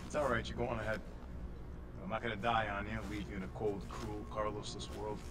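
A man's voice: lowish voice, level tone, brisk, natural voice. An actor playing a cocky, easygoing and protective young male mercenary in a video game. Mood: reassuring, joking. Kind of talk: video game cutscene acting. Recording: loud music over dialogue.

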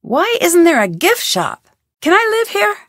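A woman's voice: very high-pitched, wildly swooping pitch, a little slow, fairly bright tone, dry recording, full character voice. A woman voicing a curious, probing young woman detective in a video game, asking question after question with a dry wit. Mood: joking. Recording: dry studio recording.

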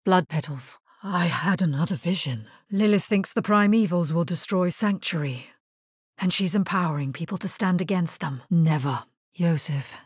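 A woman playing a grave, resolute necromancer hero in a video game, husky-voiced, speaking in a measured, solemn and terse way. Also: lowish voice, no room sound, clean studio sound. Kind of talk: scripted video game character lines.

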